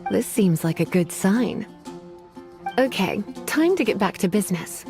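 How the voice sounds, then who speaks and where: A woman voicing a languid, teasing witch librarian in an anime game, elegant and quietly menacing. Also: mid-range voice, animated, measured pace. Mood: playful, smooth. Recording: game music behind voice.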